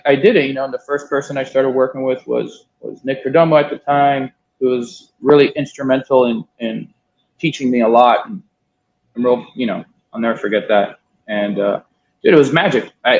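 A man's voice: medium pitch, steady tone, a little fast, slight background noise. A man in his thirties from Miami telling a long story in a casual interview, swearing now and then and quoting others. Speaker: guest, cigar importer. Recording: dull lo-fi sound.

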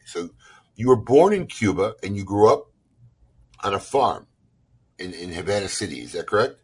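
A man hosting a casual interview, teasing his guest in a jumpy, animated way. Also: fairly low pitch, normal speed, breathy edge. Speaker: host.

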